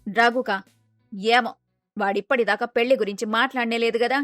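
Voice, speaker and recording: A woman's voice: fairly high pitch, steady tone, fairly quick, a bit bright, dry recording, clean studio sound, faint music behind, natural voice. A woman playing a contented, agreeable middle-aged mother-in-law in a family story.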